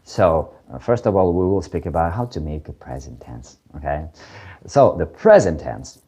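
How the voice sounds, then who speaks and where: A man's voice: deep voice, very animated, moderate pace, warm tone, husky, muffled recording. A man from Ukraine, teaching a casual video lesson, hesitant, with many fillers and restarts. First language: Russian. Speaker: language teacher.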